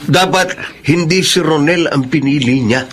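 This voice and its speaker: A man's voice: a little high, moderate pace, fairly bright tone, natural voice. A man playing a disapproving, pessimistic older father in a Filipino radio drama. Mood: grumbling, regretful. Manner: grumbling, skeptical.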